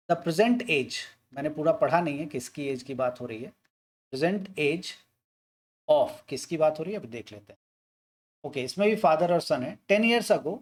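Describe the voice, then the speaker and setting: A man teaching maths, walking through an age word problem step by step and asking and answering his own questions. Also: a little high.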